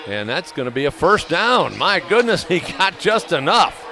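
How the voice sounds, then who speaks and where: A man giving live radio play-by-play of a football game, excitable and quick to raise his voice for big plays. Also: on the high side. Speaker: play-by-play announcer. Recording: noisy live stadium broadcast ambience.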